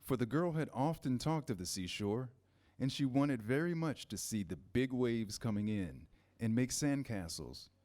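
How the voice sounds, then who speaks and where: A man narrating a scripted children's story in measured, pausing phrases. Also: mid-range voice, expressive, darkish tone. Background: music, guitar.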